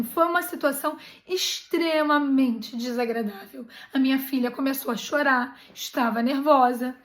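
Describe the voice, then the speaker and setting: High-voiced Brazilian woman telling a story. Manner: animated, indignant retelling with many restarts. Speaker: travel channel host. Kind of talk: personal storytelling vlog.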